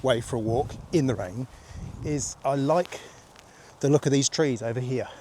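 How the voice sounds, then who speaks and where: A man in his fifties, throaty and husky from a cold, chatting casually and rambling with easy laughs as he vlogs on a rainy countryside walk. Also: medium pitch, normal speed, dark tone. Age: 50s. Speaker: vlogger. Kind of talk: casual walking vlog.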